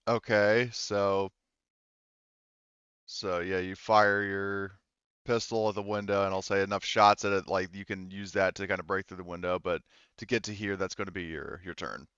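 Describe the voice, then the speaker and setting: A man's voice: low-pitched, steady tone, normal speed, fairly bright tone, clean tone, dry recording, highs cut off. A man running a tabletop roleplaying game, narrating the action casually and swearing freely. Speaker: game master.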